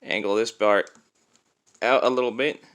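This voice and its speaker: A man's voice: fairly low pitch, fairly even intonation, moderate pace, fairly bright tone. A man giving a casual hands-on demonstration as a toy reviewer, explaining things step by step.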